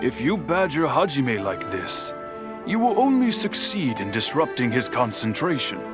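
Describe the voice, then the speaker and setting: A man voicing a calm, stern old master swordsmith who speaks in a measured, lecturing way. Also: mid-range voice. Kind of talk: video game voice acting. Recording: clear music behind.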